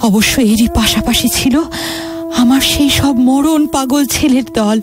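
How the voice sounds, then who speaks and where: Woman acting Mother India, high-voiced and sorrowful, over music. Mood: sorrowful, proud. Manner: theatrical, emotive, dramatic pauses and repetitions. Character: Mother India, sorrowful wounded motherland recounting her past. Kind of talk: Bengali audio drama acting. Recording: dramatic music behind the voice.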